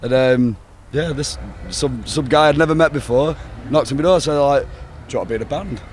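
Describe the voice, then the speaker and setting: Fast-talking, sweary young Northern English man. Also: mid-range voice. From England. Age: 20s. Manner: sweary, rambling, peppered with "you know, like". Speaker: band member being interviewed. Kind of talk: casual chat. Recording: outdoor recording with urban ambient noise.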